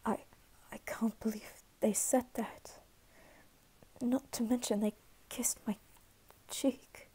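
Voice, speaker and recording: A woman voicing a flustered, guarded but caring teenage anime boy in an ASMR roleplay, halting and stammering, sometimes whispering. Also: fairly high pitch, little pitch movement, dark tone. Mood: bewildered, flustered. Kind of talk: ASMR roleplay, soft scripted acting.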